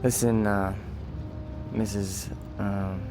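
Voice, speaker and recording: A man's voice: on the low side, flat delivery, background noise. Weak, groggy wounded policeman. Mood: earnest, polite. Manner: hesitant, halting. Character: injured male policeman, weak, polite, earnest, puzzled. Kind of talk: radio drama acting.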